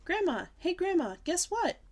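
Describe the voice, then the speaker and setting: A woman voicing an excitable, bossy little kindergarten girl with a shrill, squealing, breathless way of pleading. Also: fast talker, natural voice. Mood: shrieking. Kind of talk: audiobook character voice.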